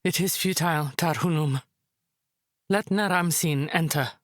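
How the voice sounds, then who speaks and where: A woman playing a proud, resolute high priestess, formal and composed, in a visual novel drama. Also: a little slow, slight background noise. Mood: resolute, sorrowful.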